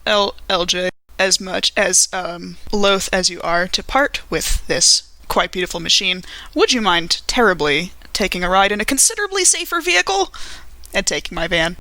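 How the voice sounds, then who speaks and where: Woman playing a bright-voiced, fussily polite doctor. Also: lowish voice, lively intonation, normal speed, dry recording. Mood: polite, dubious. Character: polite, fussy, cautious doctor with a van.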